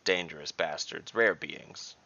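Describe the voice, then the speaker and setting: A man's voice: extremely low pitch, measured pace, creaky, sharp tone, dull audio, heavily acted. A man voicing a curt, world-weary monster hunter in a fantasy story, matter-of-fact in manner. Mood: grim, knowing. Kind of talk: fantasy audiobook character voice.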